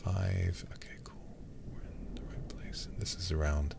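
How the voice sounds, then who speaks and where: A man livestreaming a horror game in ASMR style, muttering to himself in a hushed, breathy voice with casual swearing. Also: sits low, steady tone, a little slow. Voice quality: breathy, often whispered.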